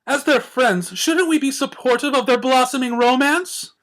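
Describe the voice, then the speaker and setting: A man's voice: extremely high pitch, moderate pace, fairly bright tone, voice pushed up. A man voicing a grand, gushing, romantic female unicorn in a story reading, theatrical and haughty. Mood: dramatic, indignant. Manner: theatrical, haughty, effusive, says "darling".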